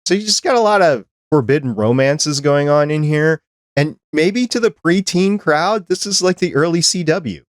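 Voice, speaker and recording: A man's voice: on the high side, lively intonation, moderate pace, dry recording, clean studio sound. Thoughtful man talking on a podcast. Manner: thoughtful, explains at length with comparisons. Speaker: podcast producer and co-host. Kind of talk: casual chat.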